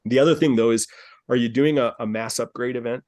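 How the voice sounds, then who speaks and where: A man's voice: medium pitch, quick pace, darkish tone, dry recording. A man with a mid-range voice leading a group coaching call. He is upbeat and persuasive and often repeats phrases. His voice sounds dry and close. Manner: upbeat, persuasive, repeats himself, addresses callers by name. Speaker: dance studio business coach, call host. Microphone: video call.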